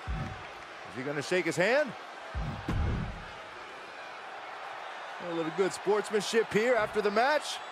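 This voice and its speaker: A man's voice: high voice, big pitch swings, moderate pace. A man playing an earnest, fair-minded pro wrestling commentator in a video game, speaking emphatically. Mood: disapproving. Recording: loud arena crowd noise behind. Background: roaring crowd and ring noise.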